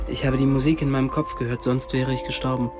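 A man's voice: medium pitch, flat delivery, fast talker, music in the background, lightly acted. A young-sounding male actor playing a polite, inquisitive, anxious Pakistani boy in a radio drama. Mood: curious. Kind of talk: scripted radio drama dialogue.

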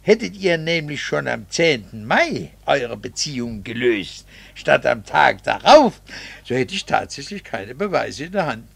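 An actor playing a bitter, condescending middle-aged husband in a theatrical 1960s radio drama. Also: male voice, medium pitch, very animated, creaky, fairly bright tone, husky, no room sound, exaggerated voice. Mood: cold, mocking. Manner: sardonic, theatrical, drawn-out rhetorical phrasing. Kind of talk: 1960s German-language radio drama acting.

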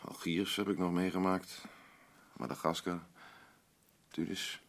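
An actor playing a blunt, rambling working-class husband in a radio drama, boastful, self-pitying and defensive. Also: male voice, sits low, little pitch movement, unhurried, fairly bright tone. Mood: reminiscing. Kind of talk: naturalistic radio drama dialogue.

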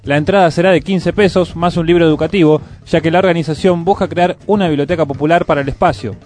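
A man's voice: fairly high pitch, steady tone, quick pace, a little mellow. A man with a Rioplatense Argentine accent presenting a radio show, reading scripted music news and event listings. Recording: music playing behind the voice. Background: music bed.

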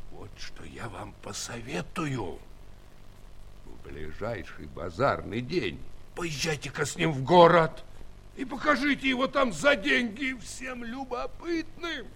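An actor playing a shrewd, amused giant country uncle in a fairy-tale audio play. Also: male voice, a little high, creaky, a bit bright, full character voice. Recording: old studio recording with faint hiss.

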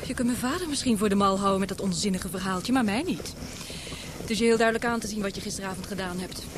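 An actress in a Dutch radio drama playing a proud, sharp-tongued young lady with a haughty, curt way of talking. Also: fast, close to an everyday voice. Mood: scornful. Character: proud, sharp-tongued daughter of a knighted man. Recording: noisy, engine-like rumble behind.

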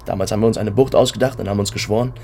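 A man's voice: fairly low pitch, fairly even intonation, very fast, vocal fry, a little mellow, slight background noise. An actor playing a sullen, sarcastic and jaded younger man in a radio crime drama. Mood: weary, brooding. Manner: sarcastic, dismissive.

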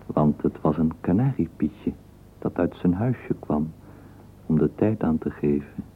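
A tinny old radio recording carries a male storyteller with a low, husky voice. He narrates a literary drama slowly and deliberately, with measured, wry phrasing. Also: a little hiss or hum.

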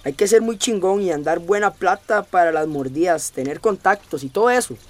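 A man's voice: high voice, animated, normal speed. An actor playing a cocky, teasing, slangy young man in a radio drama.

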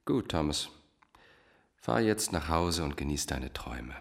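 An actor playing a cold, manipulative doctor in a crime radio drama, speaking in a measured, condescending, commanding way. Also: male voice, very deep voice, lively intonation, creaky, heavily acted. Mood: calm, commanding. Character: controlling male doctor, cold manipulative cult-like mastermind. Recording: music playing behind the voice.

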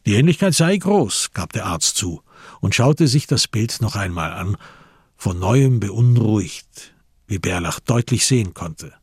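A man narrating a radio crime drama in a measured, sober, literary manner, with a husky voice. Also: lowish voice, animated, no room sound, clean studio sound, full character voice.

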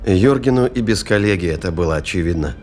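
Low-pitched male audiobook narrator. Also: lively intonation, quick pace, a little mellow, a little hiss or hum.

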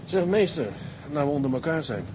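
An actor playing a steady, dutiful ship's first mate in a Dutch radio drama, respectful, businesslike and plain-spoken. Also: male voice, a little fast, close to an everyday voice. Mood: probing. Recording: noisy, engine rumble behind.

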